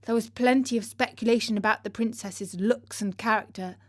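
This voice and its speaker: A woman reading a scripted story as narrator, in a measured and expressive manner. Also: on the high side, dry recording, clean studio sound.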